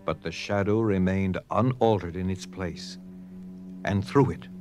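A man narrating a dramatized story theatrically, with dramatic pauses and hushed emphasis. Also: low voice. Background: music.

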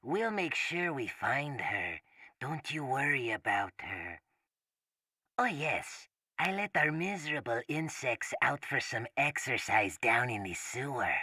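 Game villain: tiny aristocratic lord, slow theatrical delivery, swooping pitch, smugly menacing. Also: male voice, big pitch swings, pushed and bright, breathy edge, full character voice. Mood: smug taunting. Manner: pompous, mockingly polite, theatrical. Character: small, aristocratic, smugly sinister male castle lord. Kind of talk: video game villain acting.

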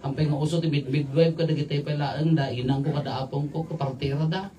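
A middle-aged man giving an informal homily as a priest, conversational and jokey, in halting phrases with rhetorical questions. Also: on the high side, fairly even intonation, dark tone, slight background noise. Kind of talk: informal homily mixing local language with English terms. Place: outdoors at night at a makeshift altar. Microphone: microphone on a stand, filmed from afar. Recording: distant, slightly off-mic capture.

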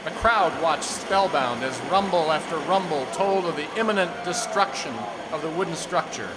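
A solemn storyteller narrates an old-time radio western, performed by a man. His dramatic, scripted delivery sits a little high in pitch. The voice carries hall reverb. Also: moderate pace, background noise, natural voice. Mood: suspenseful. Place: stage in a community hall. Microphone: stand microphone. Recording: echoey hall stage.

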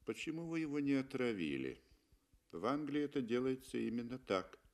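A man's voice: mid-range voice, big pitch swings, normal speed, darkish tone. A man voicing a cool, indifferent hunter with a dry, curt, detached manner in a radio story. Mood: cold, mocking.

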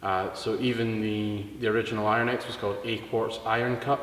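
A Scottish man in his thirties, a guest explaining things in a relaxed, matter-of-fact way in a casual interview. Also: on the low side, a little fast. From Scotland. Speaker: guest, product distributor. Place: large indoor car showroom. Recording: echoey hall, faint room noise.

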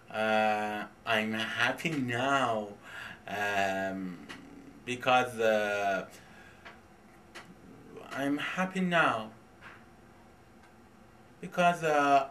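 A young man with a Persian accent, speaking English as a second language, introducing himself in a halting, hesitant way full of pauses and fillers. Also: medium pitch, a bit bright. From Iran. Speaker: vlogger. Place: home in Tehran. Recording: distant mic, faint background noise.

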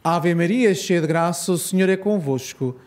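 A man with a European Portuguese accent leading a rosary, reciting solemn prayers in a measured, reverent way. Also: high-pitched, a little mellow.

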